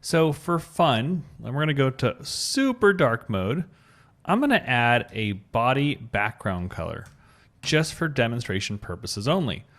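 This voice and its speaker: A man with a mid-pitched voice that moves up and down in an animated way. He presents an informal screencast tutorial, talking through each step as he works and spelling out code terms. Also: measured pace. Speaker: web design tutorial presenter.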